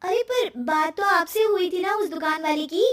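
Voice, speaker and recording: A woman's voice: very high-pitched, moderate pace, fairly bright tone, distant mic, pitched above the performer's own voice. A woman voicing a cheerful, talkative, easily worried young wife in a cartoon moral story.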